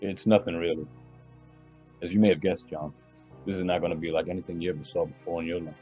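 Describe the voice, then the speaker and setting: A man's voice: deep voice, steady tone, quick pace, dull audio, faint music behind, natural voice. An actor playing a nonchalant young rich heir in an old-time radio drama, casually boastful about his family's wealth. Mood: casual boasting. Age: young adult.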